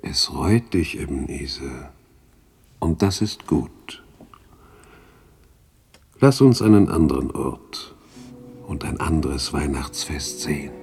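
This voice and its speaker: A man voicing a grave, knowing spirit guide with a measured, solemn delivery in a 1960s German radio drama. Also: rumbling low, animated, gravelly, a little mellow, breathy edge, exaggerated voice. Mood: solemn, guiding.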